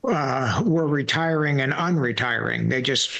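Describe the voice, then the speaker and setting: A man's voice: mid-range voice, measured pace, gravelly, a bit bright, muffled recording. A man in his sixties hosting a podcast, telling stories in a loose, rambling way with repeated words and folksy asides. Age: 60s. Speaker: podcast host. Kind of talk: casual conversational interview.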